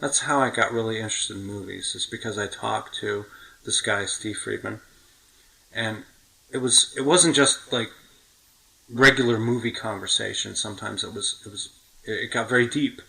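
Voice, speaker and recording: American guy podcast host, lowish crisp forceful voice, chatty and nostalgic. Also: fairly even intonation, moderate pace, low-quality recording. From United States. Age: middle-aged. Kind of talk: casual chat, reminiscing.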